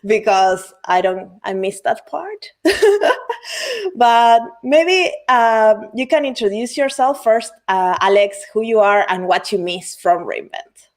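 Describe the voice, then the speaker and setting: A fairly high-pitched woman hosts a relaxed online chat show. Her delivery is upbeat, with a lively, rising intonation. She comes through on a video call. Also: slow. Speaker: host. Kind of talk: casual livestream chat.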